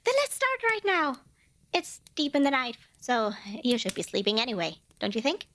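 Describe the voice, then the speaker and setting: Female performer for tiny eager demoness, high and very animated, a cuddle-hungry saleswoman for an ASMR roleplay. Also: normal speed, slight background noise, full character voice. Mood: gleeful, persuasive, then soothing. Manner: playful wheedling salesmanship with flustered self-corrections. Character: cute little female demon, centuries old, eager, cuddle-craving. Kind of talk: scripted ASMR fantasy roleplay addressed to the listener.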